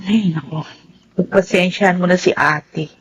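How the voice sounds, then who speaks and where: A Filipino woman playing a caring, sensible younger sister in a radio drama, with a breathy voice. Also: lowish voice, steady tone, measured pace, lo-fi sound. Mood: sympathetic.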